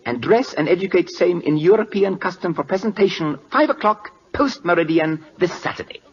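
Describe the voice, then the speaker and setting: Imperious Siamese king, wildly animated. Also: sits high, a little fast. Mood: indignant, commanding. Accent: put-on Siamese. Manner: clipped broken English with stiff formal words. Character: proud, imperious, impatient 19th-century king of Siam. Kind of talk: 1940s radio drama acting. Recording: dull lo-fi old radio recording.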